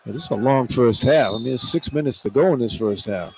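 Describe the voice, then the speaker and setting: A man's voice: medium pitch, fairly quick. An American man giving colloquial, tactical color commentary on a live radio basketball broadcast. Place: courtside at an indoor arena. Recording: clear arena noise behind broadcast commentary. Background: arena ambience.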